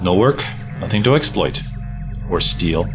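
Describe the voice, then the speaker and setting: An actor playing a wry resistance scientist in an audio drama, explaining things drily. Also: male voice, low-pitched, animated, measured pace. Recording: music behind the voice.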